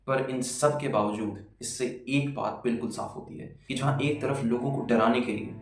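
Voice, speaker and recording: A man narrating a video as dramatic, suspenseful storytelling, with pauses and rhetorical questions, in an echoing recording with music behind. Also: mid-range voice, steady tone. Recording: roomy echo, music behind.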